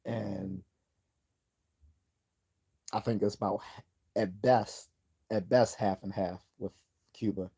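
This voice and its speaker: A man's voice: lowish voice, fairly even intonation, slow, pauses often, warm tone. Rambling man reading livestream chat. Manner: rambling, with frequent restarts and throat clearing. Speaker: livestream host. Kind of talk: casual livestream chat, reading viewer comments.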